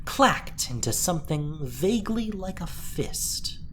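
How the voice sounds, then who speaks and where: A woman narrating a dramatic story from a script, expressive and deliberate in her telling. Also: on the low side, slight background noise.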